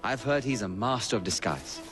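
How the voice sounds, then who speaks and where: A man playing a measured, courteous Victorian spymaster and helpful ally in a video game cutscene, slightly hesitant. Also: fairly low pitch, animated, over music, lightly acted. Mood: composed, explaining.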